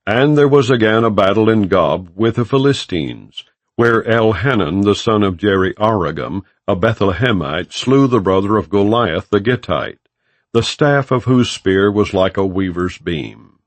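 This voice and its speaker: A man reading scripture as an audiobook narrator, in a measured, solemn and formal delivery. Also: lowish voice, dry recording.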